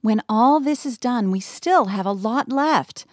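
An American actress playing a warm, delighted middle-aged mother in a family radio drama. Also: on the high side, animated, measured pace, no room sound, clean studio sound. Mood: pleased.

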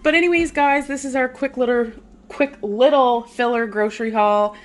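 A woman vlogger casually narrating a grocery haul in her home kitchen, relaxed and chatty with frequent "um"s. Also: on the high side, moderate pace.

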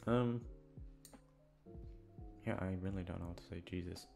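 A man's voice: low-pitched, measured pace, creaky, music in the background. A man chatting casually to his listeners in a rambling, awkward, self-deprecating way, swearing freely. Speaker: audio roleplay voice actor, channel host. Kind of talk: casual rambling outro chat.

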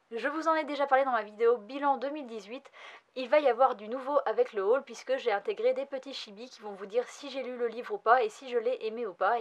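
A woman's voice: on the high side, highs cut off. A young woman hosting a casual manga vlog from a room lined with bookshelves, talking in an upbeat, chatty way with frequent fillers. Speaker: manga vlogger.

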